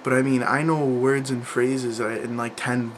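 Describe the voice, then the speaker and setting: A man's voice: mid-range voice, little pitch movement, normal speed, a little hiss or hum. A young man chatting casually to camera about learning languages, rambling, with lots of fillers and restarts. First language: English.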